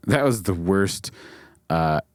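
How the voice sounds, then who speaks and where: Lower-voiced man teaching casually, dry sound. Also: expressive, takes it slowly, dry recording. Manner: informal, checks in with "okay?" and "right?". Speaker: audio mixing instructor and video host. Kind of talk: casual explanatory tutorial.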